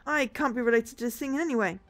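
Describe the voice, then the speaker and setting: A woman's voice: fairly high pitch, quick pace, voice pushed up, lightly acted. A woman voicing a panicky, aggressive male android mechanic while reading a visual novel aloud. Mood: panicked fury.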